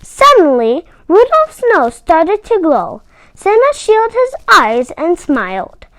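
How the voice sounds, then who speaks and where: A woman storyteller reading a children's storybook aloud with expressive, emphatic phrasing. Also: very high-pitched, takes it slowly, dry recording, low-quality recording.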